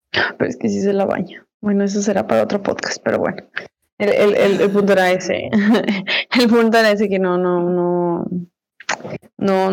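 A woman's voice: medium pitch, a little mellow, poor audio. A young woman in her twenties with a Mexican accent, co-hosting a podcast in a loose, slangy chat, repeating herself and laughing often. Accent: Mexican Spanish. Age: 20s. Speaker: podcast co-host. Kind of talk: casual chat.

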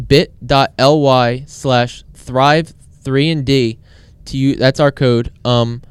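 A man's voice: medium pitch, clear, resonant tone, no room sound. A man co-hosting a casual sports podcast, with a dry, teasing way of talking. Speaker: podcast co-host.

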